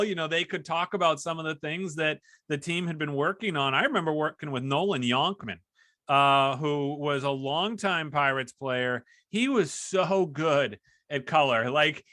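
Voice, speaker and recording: Bright-voiced man chatting as podcast host. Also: a little high, no room sound, clean studio sound. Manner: chatty, anecdotal storytelling. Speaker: podcast host and hockey broadcaster. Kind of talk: casual interview chat.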